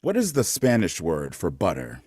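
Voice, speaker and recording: A man's voice: low-pitched, wildly swooping pitch, moderate pace, darkish tone, slightly rough, heavily acted. A man playing a strict, exacting uncle who drills grammar in a clipped, emphatic way, in a staged reading. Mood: quizzing, stern.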